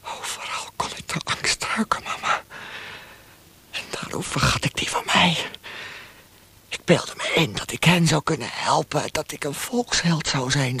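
An actor playing a lonely, anguished former bank clerk confessing to his mother in a radio drama, husky, halting and intimate. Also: high voice. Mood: hushed, fearful. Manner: halting, emotional, intimate delivery.

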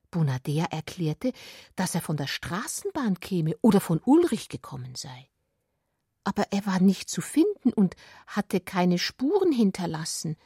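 A woman narrates literary radio drama in an expressive, deliberate way, with a breathy voice that slips toward a whisper. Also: mid-range voice, no echo, studio quality. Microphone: close, dry studio microphone.

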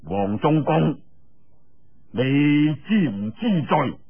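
Storybook villain elder acted by a man: Cantonese, accusing, sarcastic, drawn-out delivery, slightly husky, lo-fi sound. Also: fairly high pitch, sing-song, soft background music, exaggerated voice. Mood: stern, accusing. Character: menacing male cult elder, haughty and sarcastic interrogator.

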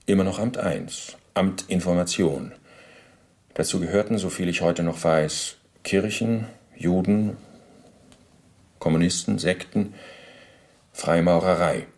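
Low, creaky matter-of-fact ex-officer. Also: male voice, slow pace, long pauses, a little mellow. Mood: matter-of-fact, self-justifying. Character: former SS officer under interrogation, matter-of-fact, self-justifying. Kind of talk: radio drama testimony, recounting at length.

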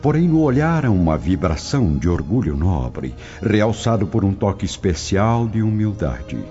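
A man with a very low voice narrates a radio drama solemnly. His delivery is expressive and melodic, set over clear music in a slightly dull recording. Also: normal speed.

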